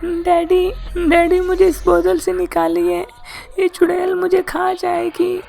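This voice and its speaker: A woman's voice: extremely high pitch, completely flat delivery, slow, vocal fry, mellow, exaggerated voice. A woman voicing a young boy in a cartoon story who runs away and then gets scared and stammers. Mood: frightened.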